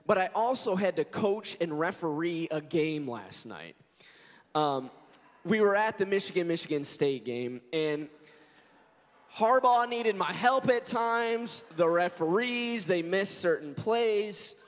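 A man in his forties, a pastor, preaching a sermon in an earnest, conversational way and telling stories, his voice hoarse and scratchy. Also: high voice, expressive, slow. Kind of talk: sermon with prayer and scripture reading. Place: church sanctuary. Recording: long reverb of a large church hall.

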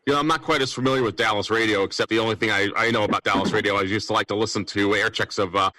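A man's voice: fairly low pitch, steady tone, racing, bright, forceful tone, dry recording. A man hosting a casual call-in radio discussion, chatty and repeating phrases as he goes. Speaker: radio talk show host.

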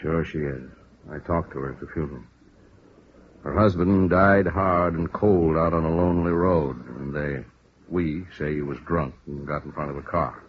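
An actor playing a businesslike American police lieutenant in a vintage radio drama, frank, firm, deliberate and emphatic. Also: male voice, extremely low pitch, fairly even intonation. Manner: deliberate, emphatic, matter-of-fact. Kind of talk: 1950s radio drama acting. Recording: muffled, hissy old radio recording.

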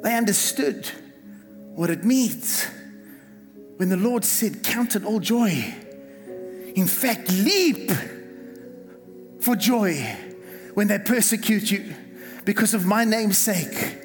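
A South African pastor preaching a fervent sermon, repeating words and calling on the congregation to respond. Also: male voice, high-pitched, expressive, slow pace, pauses often. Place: church hall. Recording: reverberant hall with music behind. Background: music.

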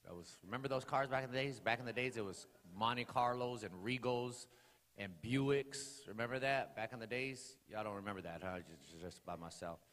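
A man's voice: mid-range voice, normal speed. Man preaching his testimony in a reverberant sanctuary. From Los Angeles area, California. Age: 40s. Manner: conversational with many fillers, rising to loud preaching bursts. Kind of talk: testimony sermon to a congregation. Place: church sanctuary. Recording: long hall reverb.

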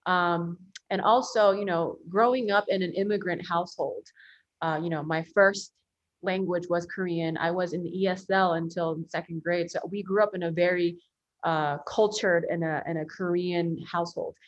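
A woman's voice: fairly low pitch, fairly even intonation, moderate pace, muffled recording. A woman attorney from the United States, a guest on a podcast, telling her story earnestly with many ums and you-knows. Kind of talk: podcast interview.

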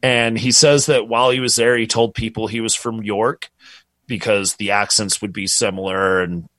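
A man's voice: lowish voice, fairly even intonation, normal speed, a bit bright, no room sound. A man casually telling a true crime story on a podcast, rambling and swearing freely.

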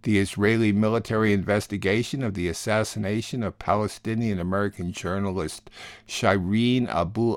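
A man's voice: on the low side, no room sound, studio quality. A man presenting a scripted radio news summary as the program's host.

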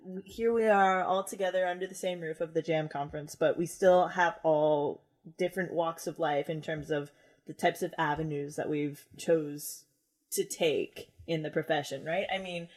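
A woman's voice: sits low, steady tone, normal speed, a little mellow. A woman co-hosting a podcast, talking in an enthusiastic, bubbly way. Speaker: podcast co-host. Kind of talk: casual podcast chat.

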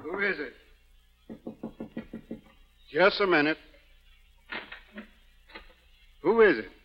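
A man's voice: high-pitched, lively intonation, a little slow, faint music behind. A man playing a folksy, deferential manservant in a 1930s radio drama, talking in clipped colloquial phrases. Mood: wary. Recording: dull 1930s radio broadcast.

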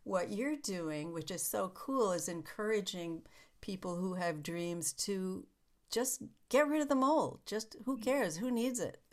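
A woman in a casual conversation, telling stories with lively acted-out quotes. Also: mid-range voice, measured pace.

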